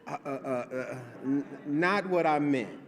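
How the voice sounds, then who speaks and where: A man playing the straight man in a comic announcement skit, often halting and restarting. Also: a little high. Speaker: congregation member making an announcement. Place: large church sanctuary. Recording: long hall reverb.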